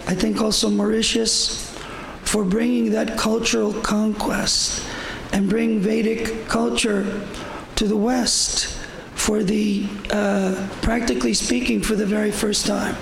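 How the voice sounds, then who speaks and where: An older man with a hoarse voice giving an informal devotional talk at a temple, rambling and repeating himself. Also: high voice, little pitch movement, takes it slowly, roomy sound, slight background noise, poor audio. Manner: rambling, repetitive, with fillers. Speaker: visiting speaker at a temple. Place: temple hall.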